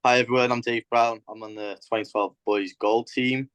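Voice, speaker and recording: A man's voice: medium pitch, level tone, normal speed, a bit bright, smooth, dry recording. A man with a Welsh accent, a youth soccer coach, introducing himself and his coaching philosophy in a plain, loosely organized way. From Wales. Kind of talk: self-introduction on a video call.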